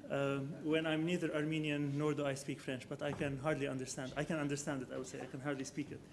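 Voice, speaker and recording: A man, a professor of architecture, giving an academic lecture with slides, speaking in a measured way that thinks aloud and often corrects himself. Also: fairly high pitch, flat delivery, slight background noise.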